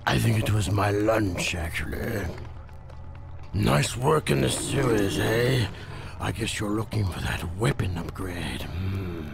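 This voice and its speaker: An actor playing an obese, scheming male crime boss with a gravelly, sly voice that drawls and tags lines with a coaxing "eh?" in a video game cutscene, loud music behind. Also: lowish voice, animated, slow pace, full character voice. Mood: oily.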